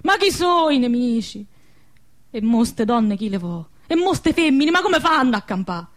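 Anguished, high-voiced young village woman. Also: sing-song, unhurried. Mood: bitter, anguished. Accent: southern Lazio Italian dialect. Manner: anguished, impassioned. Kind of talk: radio drama acting.

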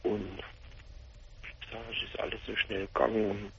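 A man in his forties with a Palatinate German accent, calling a late-night radio show, talking in a halting, dazed way full of restarts. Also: lowish voice, monotone, vocal fry, fairly bright tone, slight background noise. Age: 40s. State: shaken, in shock. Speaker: caller. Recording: dull lo-fi phone-in audio.

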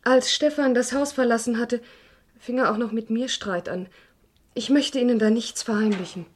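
An actress playing a composed, frank woman under police questioning in a crime radio play, measured and articulate. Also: fairly high pitch, lightly acted. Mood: candid, troubled. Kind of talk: German crime radio drama acting.

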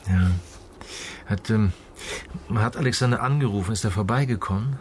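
A man playing a calm, methodical police inspector in a radio crime drama, speaking in a measured, matter-of-fact way. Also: fairly low pitch, slight background noise, close to an everyday voice. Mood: probing, polite. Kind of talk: naturalistic German radio drama dialogue.

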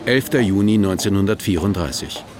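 An actor as a plain announcer giving scripted titles for a radio play. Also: male voice, low-pitched, expressive, a little fast. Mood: neutral. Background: loud music with drums and guitar.

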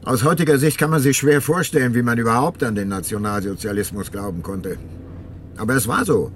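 An actor playing an ageing, remorseful detective and former prosecutor in a radio drama, narrating and talking in a measured, reflective way. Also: male voice, medium pitch, a little hiss or hum, lightly acted. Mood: confessional. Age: older. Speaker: narrator and lead character.

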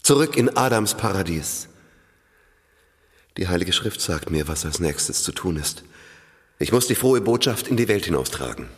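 A fervent, pedantic priest. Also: male voice, sits low, sing-song, moderate pace, long pauses, in a large hall. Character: zealous inventor-priest of a world language. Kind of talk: radio play acting.

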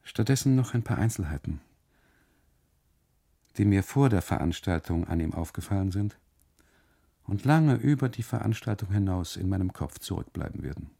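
A man narrating a literary radio monologue in the first person, deliberately, in short phrases broken by pauses. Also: low-pitched, expressive, creaky, warm tone, breathy edge.

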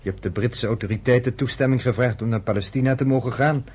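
Actor as wry male friend in a radio drama: husky, teasing, sardonic and fatherly, middle pitch. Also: quick pace, a little mellow, lightly acted. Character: wry, teasing American man, friend of a nurse. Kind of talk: Dutch radio play acting.

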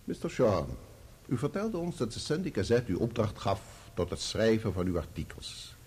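An actor in a radio play voicing a probing, sardonic barrister, formal and full of pointed rhetorical questions. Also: male voice, fairly low pitch, sing-song, moderate pace, darkish tone, breathy edge, heavily acted. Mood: pointed, needling. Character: probing, sardonic male barrister cross-examining a witness. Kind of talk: courtroom radio play acting.

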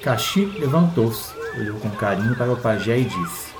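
Brazilian man narrating a story over clear ambience. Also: medium pitch, lively intonation, normal speed, noisy background.

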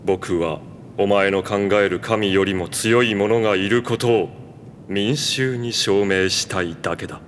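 A man's voice: fairly low pitch, a little slow, slight background noise, natural voice. A man voicing an arrogant, cold anime villain who defies the gods, in a reverberant hall. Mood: cold, defiant. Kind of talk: Japanese anime voice acting.

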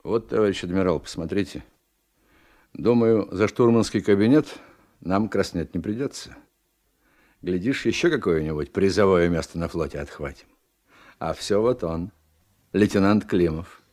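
A male actor plays a naval officer in a radio drama. His voice is deep and male. He speaks with confidence and forthright ease to a superior. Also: normal speed, pauses often, a little mellow, lightly acted. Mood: proud. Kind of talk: radio play acting.

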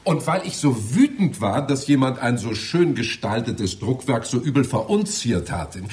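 An actor playing an evasive, over-polite library visitor in a satirical radio play, with a hesitant, flattering manner. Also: male voice, mid-range voice, normal speed, close to an everyday voice. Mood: flustered, evasive. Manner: obsequious, hesitant, drily flattering.

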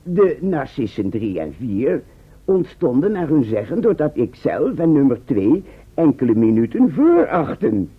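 An actor in a Dutch radio play playing an eccentric, self-assured time traveller who explains patiently and checks that listeners follow. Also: male voice, medium pitch, sing-song, a little slow, breathy edge. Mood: storytelling. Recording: muffled, band-limited old radio recording.

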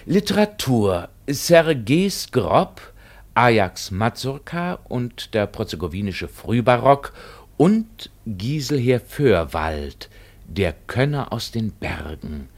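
An actor playing a pedantic art scholar in a radio play, reading a lecture in a stiff, formal and slightly hesitant way. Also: male voice, on the low side, sing-song, takes it slowly, gravelly, exaggerated voice. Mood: solemn, pedantic. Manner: stiff, learned, formal, hesitant over his words.

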